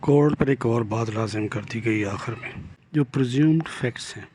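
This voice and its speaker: An older man with a Pakistani accent giving an explanatory law lecture, deliberate and repeating his points in other words. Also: medium pitch, a little mellow, slight background noise. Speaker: retired judge teaching law.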